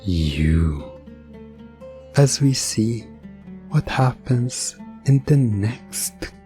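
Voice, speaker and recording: A man's voice: medium pitch, very animated, dark tone, soft background music, voice pushed up. A man playing a theatrical, teasing storyteller who narrates a suspenseful audio drama serial. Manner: theatrical, drawn-out suspenseful questions.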